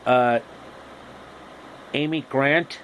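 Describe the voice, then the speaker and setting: Slow-talking man telling a story, background noise. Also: mid-range voice, fairly even intonation, a bit bright. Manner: halting, repeats phrases. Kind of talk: improvised comic retelling of a fairy tale.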